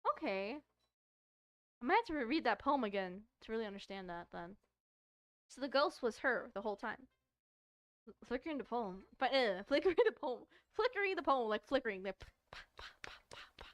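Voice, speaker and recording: A woman streamer giving casual, animated, informal commentary while playing through a visual novel. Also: on the high side, fairly quick, no echo.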